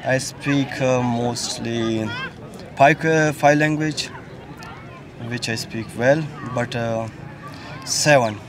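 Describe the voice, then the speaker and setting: A man in his thirties from Pakistan, a native Pashto speaker, answering a street interview in halting English with many fillers. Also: mid-range voice, steady tone, crowd noise. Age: 30s. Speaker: passer-by interviewee. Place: outdoor park path. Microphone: handheld mic held close. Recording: outdoor street noise.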